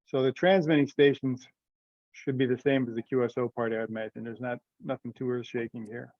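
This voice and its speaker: A man's voice: medium pitch, fairly even intonation, brisk, dry recording. A man presenting informally in a remote meeting, thinking aloud tentatively and seeking agreement, over muffled audio. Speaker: presenter in a committee teleconference. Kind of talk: informal meeting discussion, explaining a proposal. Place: remote conference call.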